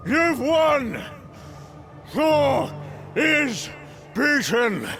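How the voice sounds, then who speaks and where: A man's voice: very high-pitched, exaggerated voice. A man playing a weary, defiant old warrior god in a comic book audio drama, with a dramatic, declamatory delivery. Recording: music under the voice.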